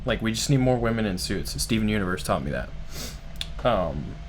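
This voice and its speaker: Rambling, excited man reacting live. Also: on the low side, normal speed, a little hiss or hum. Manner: excited, rambling, heavy use of "like". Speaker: streamer host. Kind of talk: casual live reaction commentary.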